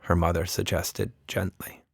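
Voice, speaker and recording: A male storyteller with a fairly low voice speaks to young listeners as a podcast host. He is playful and conspiratorial, as if sharing a secret with them. The recording is close and dry. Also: little pitch movement, measured pace, vocal fry, husky, no room sound. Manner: playful, conspiratorial, addresses children directly.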